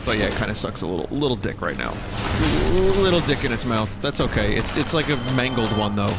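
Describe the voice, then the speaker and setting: Let's play host, male, mid-pitched, loose jokey commentary, loud game score underneath. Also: expressive, fast, gravelly. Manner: rambling, jokey, playful wordplay. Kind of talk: casual gameplay commentary. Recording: loud game music behind voice. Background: game music and sound effects.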